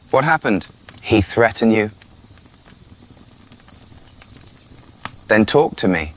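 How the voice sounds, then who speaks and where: A man's voice: lowish voice, fairly bright tone, a little hiss or hum. A man with a British accent playing a restless, rebellious young prince in an intimate, sardonic audio roleplay. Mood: challenging, probing. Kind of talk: scripted audio roleplay addressed to the listener.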